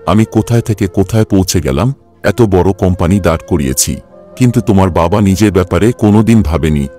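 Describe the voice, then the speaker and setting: Stern, mellow low-voiced boss, a man acting. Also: close to an everyday voice. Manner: scolding, lecturing. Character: stern older company boss, self-made and reproachful.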